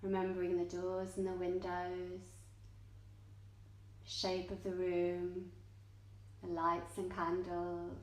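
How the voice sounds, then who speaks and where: Female meditation guide, unhurried and gentle, mid pitch, echoey studio space. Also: completely flat delivery. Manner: gentle, measured, short phrases. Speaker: yoga nidra teacher. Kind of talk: guided meditation, slow soothing instruction with long pauses. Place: indoor yoga studio, live class. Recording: roomy, echoing yoga studio.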